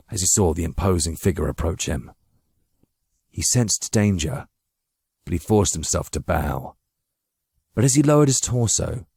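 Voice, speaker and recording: Casting: male narrator, low voice, measured literary delivery for dramatic fiction, very close dry recording. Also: a little mellow, husky, no echo. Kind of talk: scripted dramatic audiobook narration.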